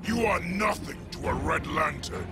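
A man voicing imperious, menacing inhuman villains in a video game, grandiose and gravelly. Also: a little high, lively intonation, normal speed. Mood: furious. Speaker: game voice actor. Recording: loud music behind.

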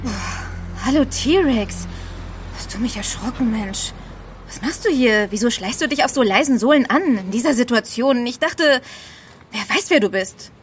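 A woman's voice: on the high side, animated, moderate pace, a little hiss or hum, close to an everyday voice. A woman playing a talkative, teasing young woman in a German audio drama. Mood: startled, then friendly. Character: chatty, teasing young woman, a travel agency clerk.

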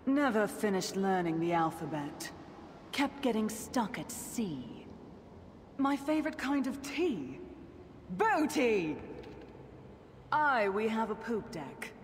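A woman's voice: mid-range voice, sing-song, slow, long pauses, a little hiss or hum. A woman voicing a menacing, swaggering undead pirate captain in a video game, theatrical and taunting with dry humor. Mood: dry, joking. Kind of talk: video game character voice lines.

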